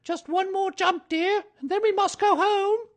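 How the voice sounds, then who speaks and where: Kanga, a man doing a shrill, fussy mother kangaroo. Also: steady tone. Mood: fussing. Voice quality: high, squeaky falsetto. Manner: brisk, distracted, ignoring others. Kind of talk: storybook reading.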